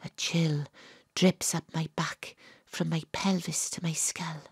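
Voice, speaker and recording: A woman playing a gruff, dogged private detective who tells her own case in a dramatic, atmospheric audio drama delivery. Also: low-pitched, little pitch movement, takes it slowly, dry recording, studio quality, full character voice. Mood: tense, hushed. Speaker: lead actress and narrator. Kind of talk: first-person audio drama narration.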